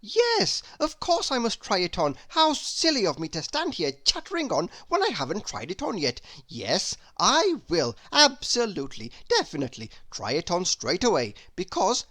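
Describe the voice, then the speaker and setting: A woman voicing an excitable, silly man from a children's storybook who rambles on in repetitive chatter. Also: mid-range voice, normal speed, few pauses, no echo, studio quality, pitched above the performer's own voice. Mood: flustered, eager. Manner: rambling, repetitive chatter piling clause upon clause. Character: excitable, silly, endlessly babbling cartoon man.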